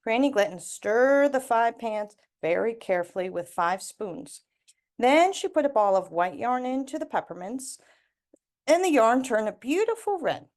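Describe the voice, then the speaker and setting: A woman reading a children's storybook aloud expressively, with deliberate emphasis on repeated words and lists. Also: fairly high pitch, big pitch swings, a little mellow. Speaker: storybook reader.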